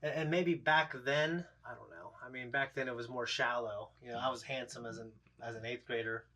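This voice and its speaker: A man's voice: mid-range voice, steady tone, fairly quick, bright, forceful tone. A man in his thirties chatting casually as a reaction show host, in a rambling, blunt, profanity-laced way. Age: 30s. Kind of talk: casual chat, episode discussion.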